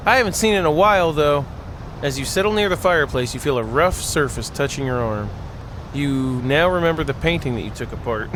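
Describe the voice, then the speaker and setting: High-voiced, animated male gameplay host. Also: a little hiss or hum. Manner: expressive, playful, exclaims and laughs. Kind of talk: reading a visual novel aloud with playful reactions.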